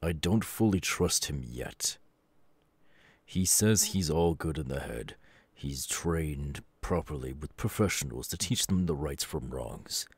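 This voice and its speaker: A man playing a stern, gruff middle-aged superhero mentor and father in an ASMR audio roleplay, with a gravelly, husky voice and a measured, grave manner. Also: very deep voice, lively intonation, dry recording, full character voice. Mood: serious, wary. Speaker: voice actor.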